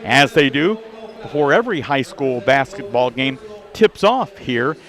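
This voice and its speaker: A man's voice: mid-range voice, very animated, unhurried, a bit bright. A man hosting a live local sports radio broadcast from a gymnasium, with an announcer-like delivery. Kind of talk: live pregame sports broadcast with sponsor reads. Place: indoor high school basketball gymnasium. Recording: busy gym crowd behind the voice. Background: crowd and bouncing basketballs.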